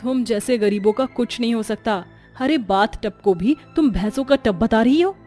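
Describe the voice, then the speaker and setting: A woman's voice: a little high, normal speed, warm tone, soft background music, lightly acted. A woman voicing a haughty, indignant young rich daughter-in-law in a cartoon story, scornful and complaining. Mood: mocking.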